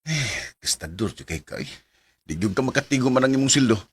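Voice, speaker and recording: A man's voice: medium pitch, very animated, brisk, husky, dry recording. A young man playing an earnest, lovestruck suitor who is careful with money, in an amateur radio drama. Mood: cheerful.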